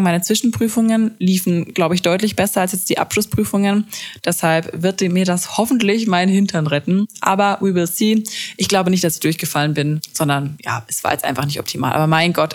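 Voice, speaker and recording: A woman in her twenties hosting a podcast, chatting casually and self-deprecatingly and mixing in English words. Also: low voice. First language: German. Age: 20s. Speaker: podcast host. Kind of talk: casual chat.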